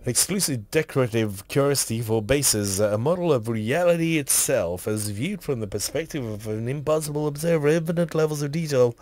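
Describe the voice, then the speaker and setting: A man with a husky, hoarse voice from a cough, rambling casually while streaming a game and reading item text aloud. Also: medium pitch, expressive, brisk, hardly any pauses, a little mellow, dry recording.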